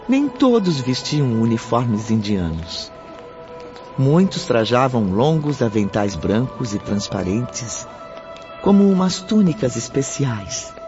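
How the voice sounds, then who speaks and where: A man acting as the solemn storyteller of a radio serial, measured and carefully enunciated. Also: mid-range voice. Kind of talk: scripted radio drama narration. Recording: muffled audio with music behind.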